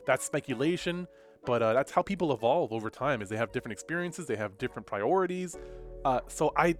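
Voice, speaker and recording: A man's voice: medium pitch, expressive, fairly quick, faint music behind. Nasal man with a stuffy nose. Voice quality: slightly nasal. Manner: thoughtful, rambling, with frequent fillers. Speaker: fighting game commentator and content creator. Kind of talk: casual commentary talking to camera.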